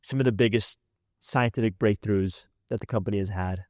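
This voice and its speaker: A man with a fairly low voice hosts a relaxed podcast interview. He asks questions and keeps cutting in with quick, eager reactions. The sound is close and dry. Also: no room sound. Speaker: podcast host. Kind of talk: casual interview.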